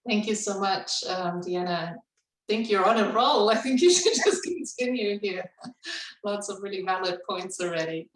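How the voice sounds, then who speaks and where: A woman who grew up in Indonesia speaks as a cultural humility trainer in a webinar, with a reflective manner and easy laughs. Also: on the low side. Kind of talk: webinar conversation. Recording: roomy, distant mic.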